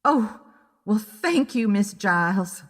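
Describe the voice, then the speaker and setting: A woman's voice: mid-range voice, expressive, slow pace. A narrator as an old woman client, scornful, unabashed, audio drama dialogue, long echo. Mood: grateful.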